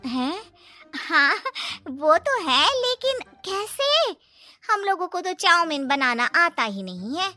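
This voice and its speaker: A woman voicing a moneylender's agreeable middle-aged wife in an animated moral story, questioning in manner. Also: very high voice, slow pace, faint music behind, pitched above the performer's own voice, heavily acted. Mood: puzzled.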